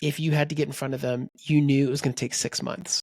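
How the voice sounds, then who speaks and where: A man's voice: mid-range voice, fast, no echo. A man co-hosting a book podcast, rambling in casual chat and quoting people in voices. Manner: rambling, animated, quotes people in character voices. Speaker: podcast co-host.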